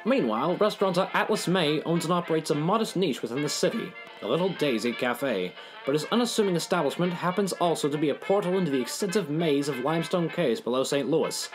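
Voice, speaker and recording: A man's voice: a little high, lively intonation, fast talker, low-quality recording. A man delivering scripted dramatic narration as a storyteller. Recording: music behind the voice. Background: music.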